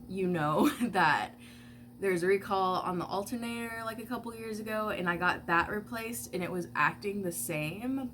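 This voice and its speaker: A woman's voice: medium pitch, fairly bright tone, slight background noise. Congested young woman chatting casually. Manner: rambling, filler-heavy, swears casually. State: congested, stuffy nose. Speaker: vlogger. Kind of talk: casual get-ready-with-me chat. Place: at home doing makeup.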